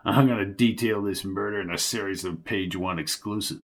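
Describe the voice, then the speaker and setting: A man doing a raspy old hard-boiled newsman. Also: low-pitched, moderate pace, a bit bright, pitched below the performer's own voice. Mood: needling. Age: older. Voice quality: raspy, gravelly. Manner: wisecracking hard-boiled slang. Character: gruff old male newspaper reporter, chain-smoking, needling.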